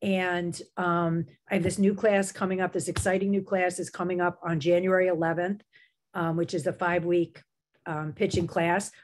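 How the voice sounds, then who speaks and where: A middle-aged woman speaks in a fairly low voice, her throat slightly sore. She chats eagerly and loosely, full of fillers and restarts, the way a professor sounds on a video call. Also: level tone, normal speed. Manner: chatty, enthusiastic, lots of fillers and restarts. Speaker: guest author and writing professor. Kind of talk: casual video-call interview.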